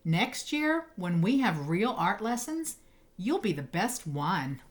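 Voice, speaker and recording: A woman's voice: medium pitch, big pitch swings, takes it slowly. A woman voicing a loyal young schoolgirl in a storybook, encouraging and admiring. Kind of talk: storybook character voice.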